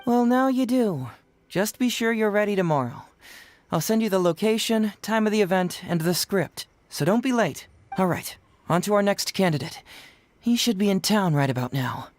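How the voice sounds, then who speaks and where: A man's voice: sits high, lively intonation, moderate pace. Breezy, teasing young male detective. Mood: firm. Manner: playfully needling, polite and friendly. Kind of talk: video game voice acting.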